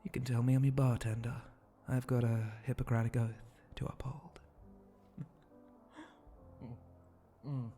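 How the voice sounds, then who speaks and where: A man voicing a flirty, teasing spider-monster bartender in an intimate ASMR roleplay, breathy and often close to a whisper, with a languid, suggestive way of talking. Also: mid-range voice, little pitch movement, mellow, soft background music, close to an everyday voice. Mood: soothing, flirty. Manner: slow, languid, suggestive drawl with pauses and hums.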